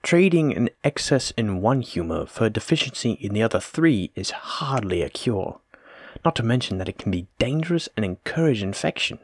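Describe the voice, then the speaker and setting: A man acts out a learned plague doctor from olden times in an immersive audio drama. His voice sits fairly low and is recorded dry, with little room sound. He addresses the listener as his patient in formal, wordy, reassuring phrases, always polite. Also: very animated, moderate pace, husky, no echo, heavily acted. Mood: disapproving. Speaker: physician examining a patient.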